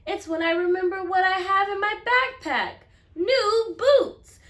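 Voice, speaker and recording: A woman voicing an earnest, wishful young schoolboy from a storybook, with expressive delivery. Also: very high voice, a little slow, echoey room, voice pushed up. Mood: excited.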